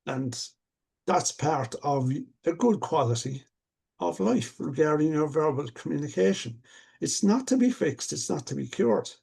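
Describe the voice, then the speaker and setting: An older man speaks with a gravelly, husky voice. His tone is dark and mellow. He talks about things in an earnest, reflective, measured way, the way a coach does. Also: fairly high pitch, lively intonation. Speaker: stuttering coach. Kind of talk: casual conversation.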